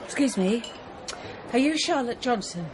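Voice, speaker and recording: An actress playing a blunt, determined teacher and wronged wife in a radio drama, terse and direct. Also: female voice, fairly high pitch, expressive, normal speed, noisy background, close to an everyday voice. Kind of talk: naturalistic radio drama acting.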